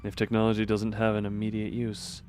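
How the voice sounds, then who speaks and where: A man's voice: lowish voice, monotone, a little fast, a little mellow, a little hiss or hum. A man live-streaming a game, reading the dialogue aloud dramatically and adding casual asides. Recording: game music behind the voice. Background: game music.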